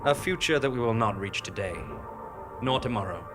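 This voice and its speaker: A man delivering scripted, theatrical narration in a measured, portentous way. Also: mid-range voice, animated. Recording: music bed behind live stage narration.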